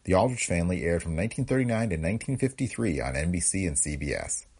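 A man with a gravelly voice reading scripted narration as a podcast host. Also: low-pitched, big pitch swings, fast talker, darkish tone.

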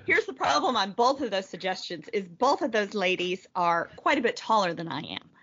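A woman's voice: mid-range voice, very animated, moderate pace, fairly bright tone, lo-fi sound, slight background noise. A woman with a light American Southern accent, chatting casually on a podcast and laughing often. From southern United States. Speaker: podcast co-host. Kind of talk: casual chat.

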